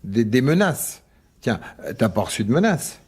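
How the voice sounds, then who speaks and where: Placating, skeptical husband. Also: male voice, mid-range voice, very animated, unhurried, a little mellow, slightly rough. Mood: placating, evasive. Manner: soothing, colloquial, reasoning. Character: placating, skeptical husband, evasive and mildly exasperated. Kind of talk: French radio drama acting.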